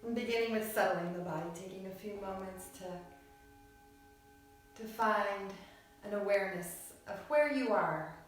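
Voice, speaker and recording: A woman leads a guided relaxation in a very reverberant room, heard at some distance from the mic. She speaks soothingly at a medium pitch, in short, unhurried phrases with long pauses. Music plays behind her. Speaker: yoga nidra teacher.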